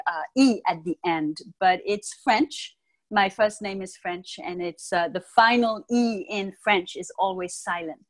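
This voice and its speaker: A middle-aged woman from the United States, raised in Martinique, talks as an interview guest over a video call. She explains things calmly and in measured steps. She speaks into an earphone mic in a room at home. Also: mid-range voice. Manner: calm, thoughtful, measured explaining. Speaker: guest, Ayurvedic practitioner. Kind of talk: interview answers.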